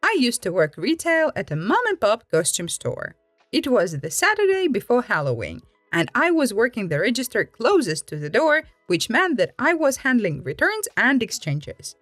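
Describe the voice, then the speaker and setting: A woman hosting a storytime channel, reading aloud in an expressive, animated and informal way. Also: on the high side, moderate pace, dry recording, clean studio sound. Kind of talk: expressive storytime read-aloud with personal reactions. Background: background music.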